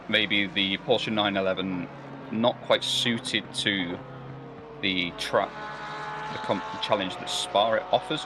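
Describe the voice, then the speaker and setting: Low-voiced man doing race commentary. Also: background noise. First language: not German or Belgian. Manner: rambling, hesitant with many fillers and restarts. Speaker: sim racing commentator.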